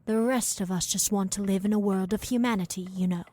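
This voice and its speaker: A woman playing a weary, confused and blunt character in an audio drama, halting and breathy. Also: mid-range voice, fairly even intonation, warm tone, lightly acted. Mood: weary, defiant. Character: confused, weary woman, blunt wine-drinking mother figure. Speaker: actor.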